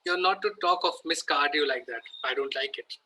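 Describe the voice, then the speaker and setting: Casting: stern, exasperated Victorian guardian played by a man, slightly high and very bright voice, amateur comedy read. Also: a little fast, a little hiss or hum. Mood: indignant, scolding. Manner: exasperated, clipped scolding. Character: irritable, stern, indignant Victorian English gentleman guardian.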